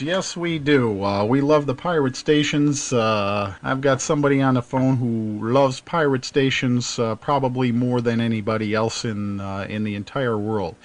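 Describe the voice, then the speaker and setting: A man hosting a live radio hobby netcast, talking casually with frequent pauses and fillers. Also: medium pitch. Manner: halting, many filler pauses. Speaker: host.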